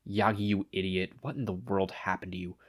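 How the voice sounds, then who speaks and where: A man's voice: low-pitched, fairly even intonation, fairly quick. A man voicing a tired, grumpy, impatient man in a story, growling and drawling. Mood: irritated.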